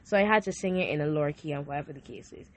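A young woman hosting a faith talk show and sharing a personal testimony. She speaks earnestly, often repeats herself and restarts her sentences, and her voice sounds a little hoarse. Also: low-pitched, rapid-fire. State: hoarse from a sore throat. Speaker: podcast host.

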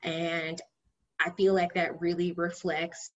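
A woman's voice: level tone, takes it slowly. Sing-songy woman guest, dull lo-fi sound. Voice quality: high-pitched by her own account. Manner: sing-songy, laughs often. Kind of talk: casual conversation.

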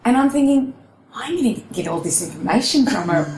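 A woman co-hosting, excitable and giggly, her words tumbling out. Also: a little high, brisk, a crowd in the background. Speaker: podcast co-host. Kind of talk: excited conversational chat. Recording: echoey room.

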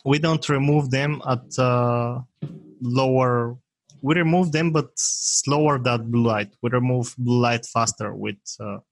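A man with a Bulgarian accent speaks in a plain middle register, explaining things haltingly as he hunts for the right English words. The sound is close and dry, with no room around it. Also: mid-range voice, no room sound. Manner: halting, searching for words, laughs often. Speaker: guest, software maker. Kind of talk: casual interview talk.

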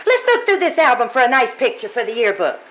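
A woman's voice: fairly high pitch, sing-song, fast, fairly bright tone, a little hiss or hum. A woman playing a chatty, doting, scatterbrained older landlady in a gushing radio comedy style. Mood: chatty, fussing. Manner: gushing, motherly, exclamatory. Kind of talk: old-time radio comedy acting.

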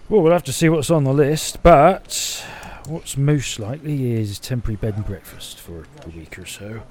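A man's voice: medium pitch, normal speed, darkish tone, lightly acted. A man playing a well-meaning, fussy housing officer in an audio drama, rambling hesitantly through official procedure. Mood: officious, distracted. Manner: hesitant, muddled, full of official terms. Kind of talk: naturalistic audio drama acting.

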